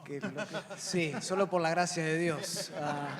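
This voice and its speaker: A man's voice: on the high side, fairly even intonation, unhurried, a crowd in the background. A man introducing himself as a church panelist in an informal, joking way. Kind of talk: casual self-introduction to an audience. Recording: reverberant hall with loud audience.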